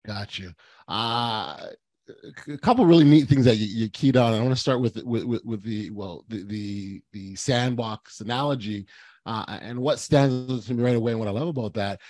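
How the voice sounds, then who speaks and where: A man hosts a podcast in a middle-pitched voice, effusive and gushing toward his guest. He often stumbles over repeated words as he restarts his sentences. The sound is close and dry. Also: normal speed, darkish tone, dry recording. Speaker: podcast host. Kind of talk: podcast intro and interview chat.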